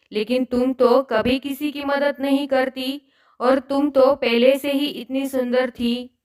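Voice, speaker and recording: A woman voicing a wise female fairy in a storybook cartoon, speaking in a measured, moralizing way. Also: high voice. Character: gentle, wise female fairy who tests and judges girls.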